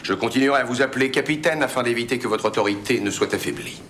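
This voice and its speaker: An actor playing a shrewd, courteous British expedition leader in a French film drama, formal, clipped and authoritative. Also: male voice, mid-range voice, fast, fairly bright tone, a little hiss or hum, close to an everyday voice. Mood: commanding.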